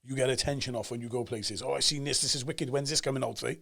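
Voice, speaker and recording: A man's voice: medium pitch, gravelly. A man in his forties with a Cardiff Welsh accent, talking as a guest on a podcast and telling stories with emphatic energy. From Cardiff, Wales. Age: 40s. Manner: animated storyteller, fast and emphatic, acts out quoted voices. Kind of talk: casual podcast chat.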